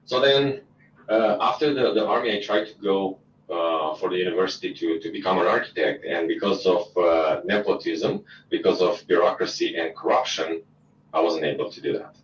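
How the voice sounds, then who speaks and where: A middle-aged man from Romania with a Romanian accent, a talk show guest telling his life story in an animated, halting way with many restarts. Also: low voice, roomy sound, far from the mic, lo-fi sound, a little hiss or hum.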